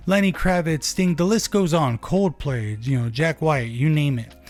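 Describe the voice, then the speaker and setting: Higher-voiced American man, dry close sound. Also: darkish tone, no room sound. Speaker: hi-fi shop owner and product reviewer. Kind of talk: casual video product review.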